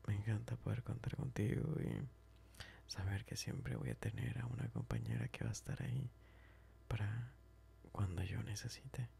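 A man playing a smitten, tender and teasing best friend in an ASMR roleplay, speaking softly and hesitantly, often whispering and repeating himself. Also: deep voice, sing-song, normal speed, slight background noise, exaggerated voice. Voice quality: soft, breathy, often whispering. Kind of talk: ASMR roleplay, intimate chat.

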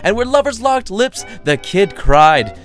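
Animated, higher-voiced male storyteller. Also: a little slow, faint music behind. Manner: irreverent, sardonic, foul-mouthed; theatrical, chatty, addresses the listener. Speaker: writer reading his own short story. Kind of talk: comedic storytelling narration.

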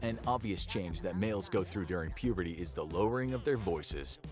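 A man giving scripted educational narration in an even, explanatory way, played from a video. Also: low-pitched, brisk. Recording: played video with faint music.

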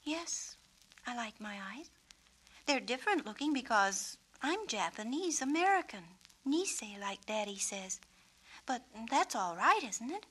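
A woman voicing an earnest, gentle young girl in a storybook, girlish and a little halting. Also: on the high side, very animated, slightly rough, voice pushed up, exaggerated voice. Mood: thoughtful, gentle. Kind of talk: storybook character reading.

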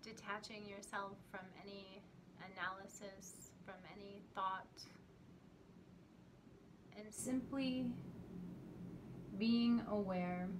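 Slow, gentle woman guiding a meditation. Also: medium pitch, fairly even intonation. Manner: soothing, gentle, with long pauses between short phrases. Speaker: meditation guide. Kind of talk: guided meditation. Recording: clearly noisy recording with ambient sound.

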